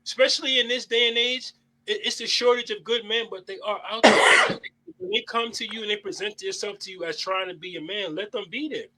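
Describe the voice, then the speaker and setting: High-voiced, bright, blunt man. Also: fairly quick, a little hiss or hum, low-quality recording. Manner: blunt, heavy swearing, preachy. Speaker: host. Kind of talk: casual panel debate.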